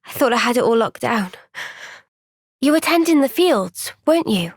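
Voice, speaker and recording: An actress voicing a gentle, kind and steady young woman soldier in a game's cutscenes, with a Welsh accent and a soft-spoken, caring, thoughtful way of talking. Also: on the high side, moderate pace, no echo, studio quality. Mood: warm. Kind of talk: video game cutscene dialogue. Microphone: close studio microphone.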